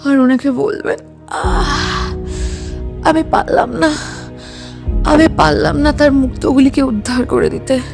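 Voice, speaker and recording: A woman acting a dying, wounded woman in an audio drama, gasping weakly in halting, slurred phrases. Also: sits high, fairly even intonation, creaky, music in the background, heavily acted. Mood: anguished, fading. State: gasping, weak. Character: dying stabbed woman, gasping a last plea.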